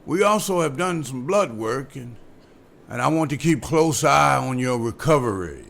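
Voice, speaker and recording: Calm doctor, read by a man; gravelly, advising. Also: mid-range voice, moderate pace. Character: doctor, and a concerned husband.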